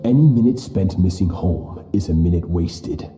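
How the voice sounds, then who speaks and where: An actor playing a stern, merciless older sword master in a game cutscene, speaking in a cold, measured, menacing way. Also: male voice, deep voice, dark tone, reverberant, faint music behind, natural voice. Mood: grave. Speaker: game character. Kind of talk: video game cutscene voice acting.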